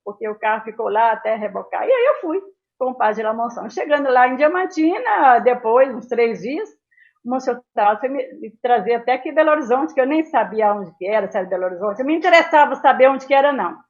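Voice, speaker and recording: High-voiced elderly woman, muffled recording. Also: lively intonation, quick pace. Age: 70s. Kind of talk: reminiscing in a casual interview. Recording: dull, muffled lo-fi sound.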